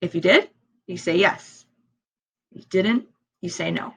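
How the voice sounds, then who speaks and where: A woman who is a native English speaker, teaching language learners step by step in a deliberate way, repeating key phrases and posing questions. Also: on the low side, vocal fry, breathy edge, muffled recording. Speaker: ESL teacher.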